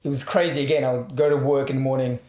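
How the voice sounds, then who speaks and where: A man in his thirties chatting casually in a group, animated and acting out his own thoughts as he speaks. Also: mid-range voice, rapid-fire. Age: 30s. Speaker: actor guest. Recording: roomy echo.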